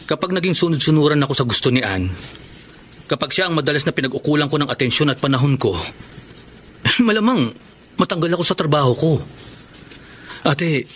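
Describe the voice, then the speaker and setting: A man's voice: fairly high pitch, lively intonation, brisk, pauses often, fairly bright tone, slight background noise. A man playing an earnest, exasperated young live-in boyfriend in a radio drama, speaking emphatically and pleadingly. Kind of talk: Tagalog radio drama acting.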